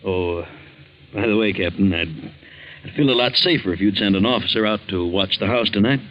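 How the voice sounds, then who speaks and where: On a dull old radio recording, a man plays a wealthy businessman in a 1940s drama. His voice is on the low side, and he is nervous, guarded and stubborn, often stammering. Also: a little fast, a little hiss or hum, close to an everyday voice. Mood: hesitant, guarded. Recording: dull, band-limited vintage radio recording.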